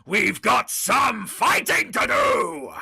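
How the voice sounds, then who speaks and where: Wildly swooping, creaky game warrior. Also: male voice, high voice, huge pitch swings, slow pace, raised voice, background noise, full character voice. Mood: impatient, rousing. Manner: brash, goading. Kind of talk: video game character acting.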